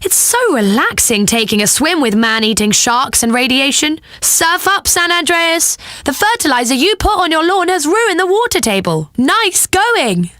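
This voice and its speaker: A British female pop radio DJ for a video game, high-pitched and bright, with very lively swoops. She is cheeky and sardonic, breezily sarcastic in her scripted patter, in a close, dry studio sound. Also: no echo, exaggerated voice. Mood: upbeat, breezy.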